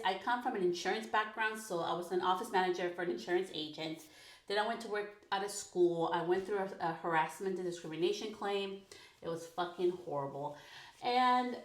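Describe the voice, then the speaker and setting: A woman in her thirties talks frankly to her podcast listeners from her bedroom, chatty and loose with plenty of "like". Her voice sits at an ordinary middle pitch. The room bounces her voice back noticeably. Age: 30s. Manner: chatty, frank, peppered with "like", laughs often. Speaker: podcast host. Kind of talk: casual personal podcast monologue. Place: her bedroom recording corner. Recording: echoey home room.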